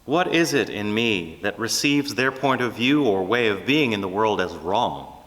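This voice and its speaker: A man preaching a reflective, prayerful sermon, speaking earnestly in measured phrases with deliberate pauses. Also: lowish voice, expressive, a bit bright, in a large hall.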